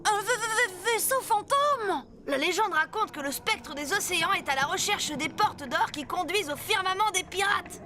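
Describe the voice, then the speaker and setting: Eager, very high-voiced boy pirate leader. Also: animated, normal speed, bright, forceful tone, slight background noise, full character voice. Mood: wary. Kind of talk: animated cartoon dub. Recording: music behind the voice.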